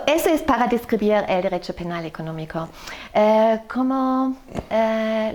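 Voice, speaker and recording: Slow, halting German-accented woman. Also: medium pitch, darkish tone. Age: middle-aged. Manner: halting, frequent fillers and self-corrections, says "so". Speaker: law professor. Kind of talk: explanatory interview answer.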